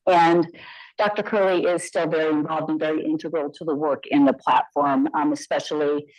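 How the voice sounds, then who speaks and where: A woman's voice: sits low, darkish tone, no echo, rough recording. A woman nurse informaticist giving a conference presentation into a mic, conversational with frequent fillers and asides.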